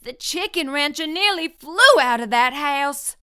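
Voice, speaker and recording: A middle-aged woman reading a children's picture book aloud with expressive storytelling. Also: sits high, measured pace. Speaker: children's book reader, channel host.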